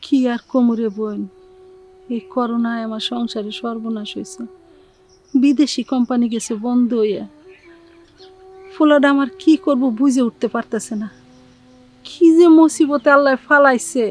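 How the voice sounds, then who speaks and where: A woman's voice: fairly high pitch, fairly even intonation, moderate pace, long pauses, a little hiss or hum, close to an everyday voice. An actress playing a careworn, affectionate middle-aged widowed mother in a drama, speaking plaintively with a rural Bangladeshi accent. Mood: distressed, lamenting. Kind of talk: TV drama acting in village dialect.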